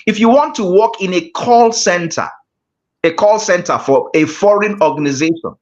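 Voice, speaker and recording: A man with a Nigerian accent teaching a public-speaking class, measured and repeating key phrases for emphasis. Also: sits high, animated. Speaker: guest speaking coach. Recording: muffled lo-fi audio.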